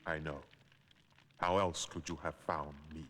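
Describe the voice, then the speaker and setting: An actor playing a wise older mystical mentor in a cartoon, grave and measured. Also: male voice, extremely low pitch, steady tone, fairly bright tone, exaggerated voice. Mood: solemn, prophetic. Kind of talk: animated series voice acting. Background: music.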